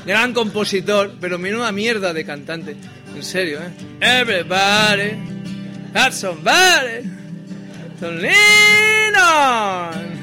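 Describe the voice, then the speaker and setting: Male singer-entertainer, high-pitched, drawn-out delivery with irreverent spoken asides, live over guitar music. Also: very animated. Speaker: musician performing and joking between lines. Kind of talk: live comic song performance with spoken asides. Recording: live concert with loud music.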